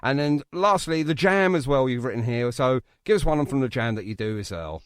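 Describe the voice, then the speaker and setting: Male radio co-host. Quick, lively, jokey banter in a close, dry sound. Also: mid-range voice, clean tone, no room sound. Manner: jokey, enthusiastic. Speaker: radio show co-host, interviewer. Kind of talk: casual banter.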